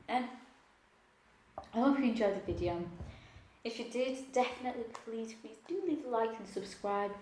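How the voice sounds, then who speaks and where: A woman's voice: a little high, darkish tone. A young woman hosting a mukbang, chatting casually to the camera while eating, sniffly and laughing self-consciously. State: sniffly nose. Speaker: mukbang host. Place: at home, indoors. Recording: echoey room.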